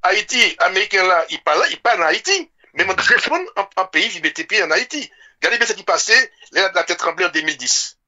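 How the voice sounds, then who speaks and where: Male radio talker, French Caribbean accent, quick and high-voiced, impassioned, repeats himself for emphasis. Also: animated, bright, forceful tone, lo-fi sound. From French Antilles. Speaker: radio show speaker. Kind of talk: impassioned informal political discussion.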